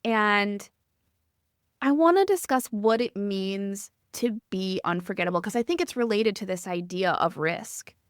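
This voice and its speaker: A woman from the Midwest with a Midwestern accent, hosting a podcast interview in a gentle, reflective way with a sweet, calming voice. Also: mid-range voice, measured pace, no room sound, studio quality. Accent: Midwestern American. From American Midwest. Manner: gentle, reflective, asks thoughtful questions. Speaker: podcast host.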